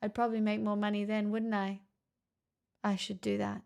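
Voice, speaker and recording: A woman's voice: mid-range voice, flat delivery, moderate pace, darkish tone, clear, resonant tone. A woman livestreamer chatting casually with her viewers, playful, teasing and self-mocking. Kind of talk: casual stream chat with viewers.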